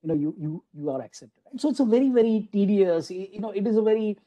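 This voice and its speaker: A man giving an online lecture to a room of teachers, speaking through a computer microphone on a video call. His voice sits a little high but sounds very soft and mellow. He talks in a loose, conversational way, restarting phrases and leaning on "you know" as he goes. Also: fast talker. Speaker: guest lecturer at a faculty development program.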